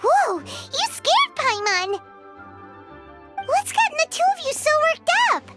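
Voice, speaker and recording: A woman voicing a small, perky, cheeky childlike fairy companion in a video game, squeaky, excitable and blunt. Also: slow, faint music behind, heavily acted. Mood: startled. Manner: excitable, blunt, refers to herself by name. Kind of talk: video game character acting.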